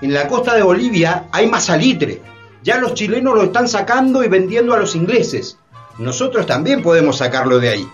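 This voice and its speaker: A scheming, self-satisfied bourgeois gentleman acted by a man in a radio play, fairly high and very lively in pitch. The recording is dull, with faint music. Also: normal speed. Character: scheming bourgeois gentleman doting on his lady. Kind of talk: Spanish-language radio theatre acting.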